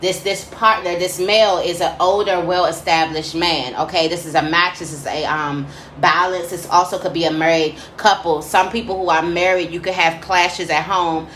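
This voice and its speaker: A woman's voice: fairly low pitch, steady tone, normal speed, fairly bright tone. A woman tarot reader speaking straight to her listeners in an informal, slangy spiritual advice monologue, often checking in with short tag questions. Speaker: tarot reader giving a reading for Libra. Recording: roomy echo with faint background noise.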